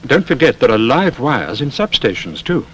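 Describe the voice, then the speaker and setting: A British actor playing a knowing, patient but stern safety teacher, explaining and admonishing in a scripted public information film. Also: male voice, mid-range voice, sing-song, a little hiss or hum, close to an everyday voice, low-quality recording. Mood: firm, admonishing. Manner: instructive, admonishing, measured explanations. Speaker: safety film narrator and instructor.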